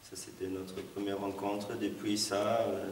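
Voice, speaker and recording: A man from Germany speaks French, hesitant and simply phrased. His voice is lowish and flat. He is recorded from afar, in an echoey room with faint hiss. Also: a little slow. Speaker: circus juggler. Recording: echoey hall, distant mic.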